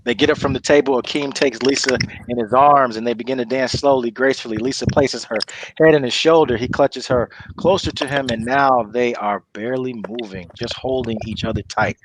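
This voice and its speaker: A man's voice: mid-range voice, fairly even intonation, fairly quick. A man reading stage directions as the narrator of a comedy script table read. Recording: clearly noisy recording with clicks.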